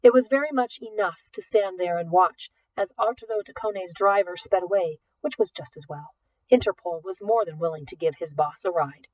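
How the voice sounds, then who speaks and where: A woman reading a novel aloud in an expressive, deliberate storytelling manner. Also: lowish voice, dry recording, far from the mic, low-quality recording. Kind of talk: scripted audiobook narration.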